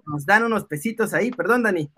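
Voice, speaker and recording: A man with a Mexican accent co-hosting a live stream in casual, playful banter, excitable and quick to exclaim. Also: high-pitched, a crowd in the background.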